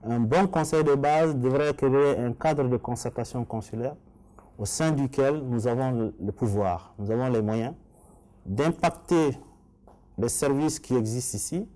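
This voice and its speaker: A man's voice: mid-range voice, a little slow, warm tone. A middle-aged man from Mali with a Malian accent, giving earnest, formal answers as a political candidate in an interview. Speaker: election candidate being interviewed.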